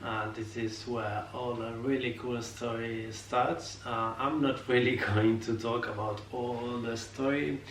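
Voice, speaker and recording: A man's voice: mid-range voice, fairly even intonation, measured pace, few pauses, fairly bright tone. A young man from Spain with a Spanish accent, chatting casually on a vlog and hesitating often as he hunts for words in his second language. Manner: hesitant, many filler sounds, apologetic about his English. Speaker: vlogger. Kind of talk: casual chat. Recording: echoey room, mic set far off, faint noise.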